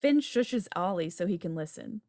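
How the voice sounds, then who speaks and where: A woman's voice: lowish voice, animated, moderate pace, dark tone, no room sound. Dry-sounding woman reading stage directions. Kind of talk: scripted narration. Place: indoor room, on a video call. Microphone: video call microphone.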